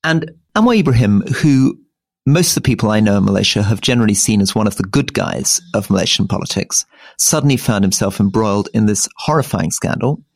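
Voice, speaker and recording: A man's voice: brisk, vocal fry, dry recording, clean studio sound. A man in his sixties, co-hosting a podcast and talking through political history in a thoughtful, digressive way. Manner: thoughtful, digressive, rises in pitch when animated. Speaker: podcast co-host. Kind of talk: political discussion.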